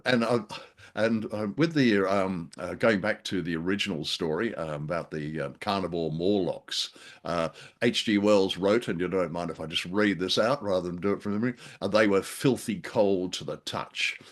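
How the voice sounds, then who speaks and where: An older man with a slightly low voice chats casually as a show co-host. His delivery is halting and wry, with a jokey streak running through it. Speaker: co-host of an old-time radio discussion show. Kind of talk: casual humorous discussion.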